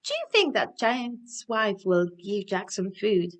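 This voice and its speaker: Slow-paced woman entertaining young children. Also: medium pitch. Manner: animated, encouraging, addressing young children with questions. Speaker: children's storyteller and craft presenter. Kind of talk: interactive children's storytelling and instruction.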